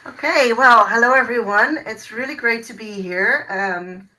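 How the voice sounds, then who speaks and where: A woman's voice: on the high side, unhurried, sharp tone, rough recording. A woman working as a university recruiter, giving an informal presentation in an online webinar. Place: online video call.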